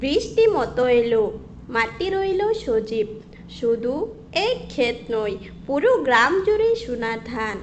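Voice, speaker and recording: Lady reading a cartoon fairy tale, high voice, very animated storytelling, slight background noise. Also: unhurried, lo-fi sound. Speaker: narrator. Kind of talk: scripted fairy tale narration.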